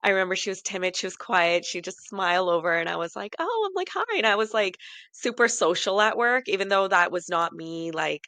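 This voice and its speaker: A woman's voice: medium pitch, big pitch swings, a little fast, pushed and bright, no echo. A woman in her late thirties co-hosting a podcast, chatting casually and rambling with self-deprecating laughs. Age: 30s. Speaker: podcast co-host. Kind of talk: casual chat.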